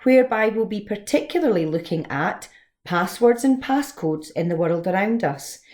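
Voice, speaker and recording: A woman's voice: mid-range voice, dark tone. A woman giving a scripted educational presentation as a lesson presenter. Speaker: teacher presenting a read-along lesson.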